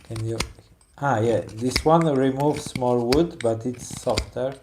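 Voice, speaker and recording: Slow-talking man with a Polish accent. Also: medium pitch, noisy background. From Poland. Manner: halting, searching for English words. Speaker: woodcarver. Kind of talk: casual chat while carving.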